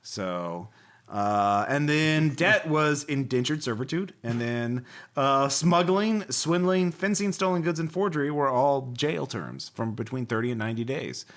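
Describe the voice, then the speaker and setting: A man's voice: medium pitch, expressive, a little slow, a bit bright. A man hosting a podcast in casual chat, rambling along in a jokey way. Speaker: podcast host.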